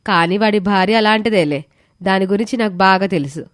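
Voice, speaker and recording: A woman voicing a suspicious, sharp-tongued married woman in an animated moral story. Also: medium pitch, fairly quick, no echo, clean studio sound, natural voice. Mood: suspicious, grudging.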